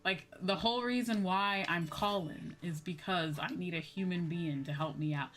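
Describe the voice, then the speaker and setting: Woman, slightly low voice, casual gaming-stream chat, blunt, sweary, emphatic repetition, faint music behind. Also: normal speed, a bit bright. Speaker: livestream host, VTuber.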